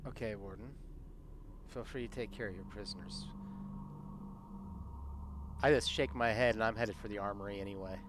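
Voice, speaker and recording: A man's voice: fairly low pitch, fairly even intonation, moderate pace, long pauses. A man playing a tabletop game, talking casually in and out of character, with slight background noise.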